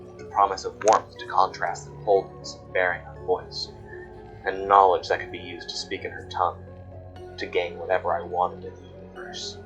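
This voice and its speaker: A haunted woman played by a man confides dark secrets in a horror audio drama. The voice is low and grave, with music running beneath it. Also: dull audio. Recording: music bed under voice. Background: background music.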